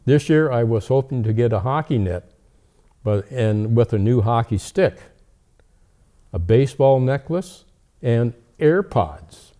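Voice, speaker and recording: A man's voice: mid-range voice, animated, a little slow, warm tone, lightly acted. A man playing kindly old Father Christmas as an avuncular co-host of a children's holiday show. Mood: reading aloud. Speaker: storytime co-host.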